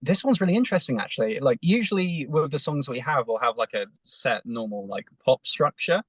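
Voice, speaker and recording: Brief: English guy in a band, mid-pitched, halting and filler-heavy, recorded dry inside a car. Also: animated, a bit bright, husky, dry recording. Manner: hesitant, many fillers and restarts. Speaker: band member. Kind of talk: casual interview chat.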